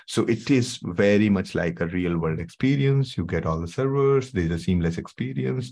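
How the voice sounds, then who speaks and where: A middle-aged man with an Indian English accent, presenting a tech webinar in a soothing, conversational way with frequent "okay"s. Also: a little mellow. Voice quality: soothing, low voice. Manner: conversational, many fillers like "okay" and "right". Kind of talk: live online tech webinar.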